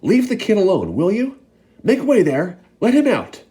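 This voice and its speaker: A man doing a fat shopkeeper, yelling with glee. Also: mid-range voice, huge pitch swings, measured pace, pitched above the performer's own voice. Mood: firm. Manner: yelling, overexcited. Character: fat excitable male shopkeeper.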